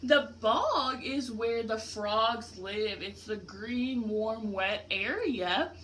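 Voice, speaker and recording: A woman's voice: on the high side, drawn-out words, fairly bright tone, a little hiss or hum. A woman teacher doing an interactive picture-book read-aloud for a class, explaining simply and putting questions to pupils.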